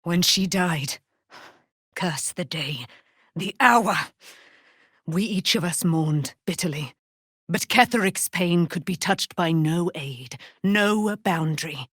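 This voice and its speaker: A woman's voice: fairly low pitch, slow, fairly bright tone, breathy edge, no room sound, studio quality, full character voice. A woman playing a proud, righteous immortal warrior in a video game, with grand, formal, declamatory delivery and archaic phrasing. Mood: solemn, grieving. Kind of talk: dramatic video game voice acting.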